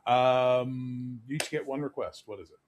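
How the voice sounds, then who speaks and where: A man with a speaking baritone hosting a casual panel chat, talking loosely and swearing freely. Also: a little slow, highs cut off. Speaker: host.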